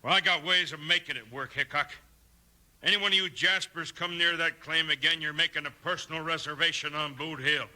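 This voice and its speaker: A man's voice: on the high side, steady tone, fairly quick, pushed and bright. An actor playing a menacing claim-jumping gunman in a 1950s radio Western, hard and threatening, with an American Western accent. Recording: crackly 1950s radio recording.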